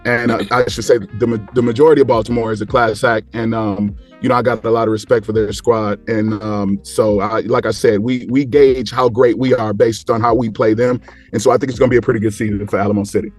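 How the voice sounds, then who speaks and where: A man's voice: fairly low pitch, flat delivery, brisk, faint music behind. A man in casual sports talk as a panel guest, rambling along and repeating phrases for emphasis.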